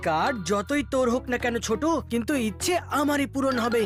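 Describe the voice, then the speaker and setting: A woman's voice: fairly high pitch, fairly quick, lightly acted. A woman voicing a sly, boastful young boy, the scheming older brother in a dubbed children's cartoon. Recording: music behind the voice.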